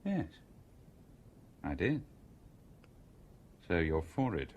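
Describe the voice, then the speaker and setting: An actor with a British accent playing an inquisitive, composed engineer in a radio drama, urbane and conversational. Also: male voice, deep voice, wildly swooping pitch, drawn-out words, darkish tone, lo-fi sound, heavily acted. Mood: probing. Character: inquisitive, coolly composed stranger, a male engineer.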